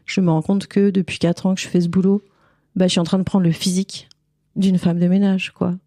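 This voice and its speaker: A woman's voice: fairly low pitch, level tone, fairly quick, warm tone, no room sound, clean studio sound. A woman from France, speaking candidly and informally as a guest in a casual interview. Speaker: podcast guest, cleaning lady and visual artist.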